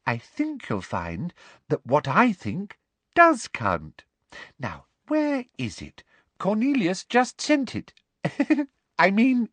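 A man's voice: high-pitched, huge pitch swings, slow, a bit bright, no echo, voice pushed up, exaggerated voice. A man voicing a smug, falsely sweet older woman official with a British accent, simpering and fussily condescending, for an audiobook. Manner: simpering, fussy throat-clearing, condescending. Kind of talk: audiobook character voice.

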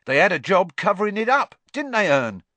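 A man voicing an excitable young male bus conductor who gossips and keeps seeking agreement. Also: high voice, a little fast, no room sound, voice pushed up, full character voice. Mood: excited gossip.

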